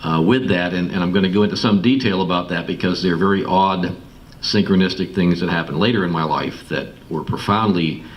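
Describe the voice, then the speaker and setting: Low-voiced American man, recorded from afar. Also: fairly quick. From Massachusetts, United States. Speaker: guest speaker telling his life story. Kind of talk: informal personal storytelling to an audience. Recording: distant mic, clearly noisy room recording.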